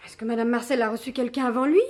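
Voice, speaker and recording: A woman's voice: a little high, steady tone, racing, natural voice. An actress playing an anxious young café waitress in a mystery play, earnestly pleading for her accused fiancé. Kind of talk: French radio mystery play acting.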